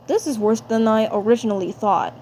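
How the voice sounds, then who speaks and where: A woman voicing a caring male cartoon helper, earnest and reassuring, in a deliberate, repetitive delivery. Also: fairly high pitch, darkish tone, close to an everyday voice. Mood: serious, determined. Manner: deliberate, repeats phrases. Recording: faint background noise.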